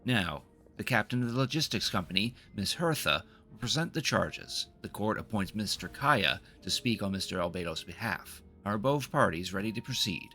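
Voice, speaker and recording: A man's voice: lowish voice, lively intonation, normal speed, hardly any pauses, sharp tone, faint music behind. A man reading aloud the formal lines of a solemn knight commander from a video game. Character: solemn, formal woman knight commander presiding over trial.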